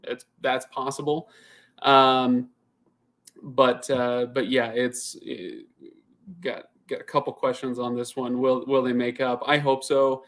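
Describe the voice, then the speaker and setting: A man in his thirties hosting a casual live Q&A, chatting and thinking out loud as he answers viewer comments, often restarting his sentences. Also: mid-range voice, flat delivery, takes it slowly. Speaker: channel host.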